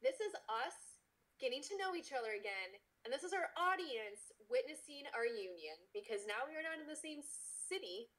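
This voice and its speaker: A woman co-hosting a podcast with excitable, teasing casual banter. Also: high voice, normal speed, poor audio. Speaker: podcast co-host.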